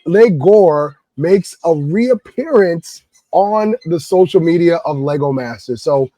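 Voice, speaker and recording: A man hosting a podcast, hoarse and raspy from a cold, chatting in a laid-back slangy way. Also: on the high side, animated, takes it slowly, warm tone, no room sound. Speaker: podcast host. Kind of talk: casual chat.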